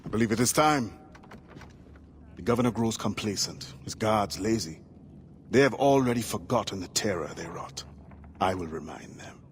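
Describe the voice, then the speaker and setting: A man's voice: fairly low pitch, expressive, moderate pace, pauses often, slight background noise, natural voice. A man playing a grave, resolute middle-aged assassin who fights for freed slaves in a video game cutscene. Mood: quiet determination.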